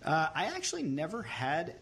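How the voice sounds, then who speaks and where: A man's voice: a little high, normal speed. Joking man in his thirties. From Long Island, New York. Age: 30s. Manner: playful, deadpan tall tales. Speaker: podcast host. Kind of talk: joking casual chat.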